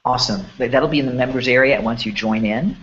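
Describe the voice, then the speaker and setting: A man hosts an event preview, his voice hoarse and a little congested from a cold. He rambles with eager enthusiasm and a middling pitch. The audio comes through a dull, lo-fi call line. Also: fast talker, fairly bright tone. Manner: rambling, enthusiastic, many restarts. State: hoarse and sniffling. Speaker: host. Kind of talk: promotional webinar chat.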